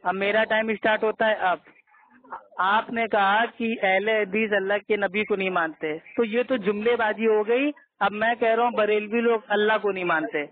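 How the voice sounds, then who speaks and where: Male debater, strikingly high pitch, pushy and repetitive in argument, heard down a narrow telephone line. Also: fairly even intonation, normal speed. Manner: insistent, argumentative, repeats himself. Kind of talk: heated religious debate on a call. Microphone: phone call. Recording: tinny phone conference line.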